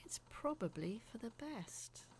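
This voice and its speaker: A woman telling a spooky first-person story in a dramatic, uneasy, confiding way, sometimes dropping to a hush. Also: on the high side, very animated, measured pace, whispered. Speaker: narrator of a horror audio story.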